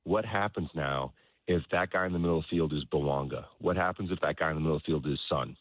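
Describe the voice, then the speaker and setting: A man speaking as a soccer coach in an interview, explaining things in a halting way, repeating words and often checking in with the listener. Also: a bass voice. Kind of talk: conversational sports interview, explaining his coaching philosophy. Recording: roomy echo, dull lo-fi sound.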